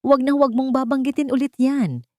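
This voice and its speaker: A woman's voice: sits high, steady tone, moderate pace, no echo, studio quality, pitched above the performer's own voice, lightly acted. A woman voicing a haunted, angry millionaire husband in a story, curt, firm and threatening.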